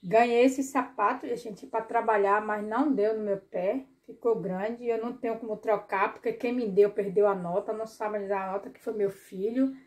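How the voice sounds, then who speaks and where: A woman's voice: mid-range voice, fairly even intonation, moderate pace, hardly any pauses, a little mellow, a little hiss or hum. A middle-aged woman chatting casually to her viewers while filming herself at home, repeating words and addressing the audience often. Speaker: vlogger. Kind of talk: casual vlog chat to viewers. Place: small home bedroom and kitchen, indoors. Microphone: phone held at arm's length.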